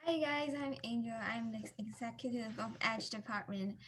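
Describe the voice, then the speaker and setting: A woman's voice: fairly high pitch, moderate pace. A teenage female student from Hong Kong, introducing herself informally as a presenter on an online call. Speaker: student presenter, department executive.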